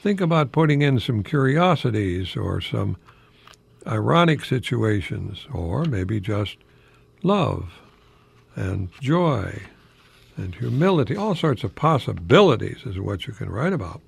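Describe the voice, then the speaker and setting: Older American man with a creaky voice. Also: on the low side, sing-song, measured pace. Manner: professorial, chatty, rhetorical questions, chuckling asides. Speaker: radio host, retired college professor. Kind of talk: informal radio talk with verse recitation.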